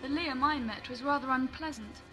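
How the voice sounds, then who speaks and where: Woman playing a plucky, high-voiced space traveller. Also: over music, natural voice. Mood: skeptical. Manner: brisk questioning. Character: inquisitive, plucky, skeptical female space traveller. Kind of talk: science fiction audio drama acting.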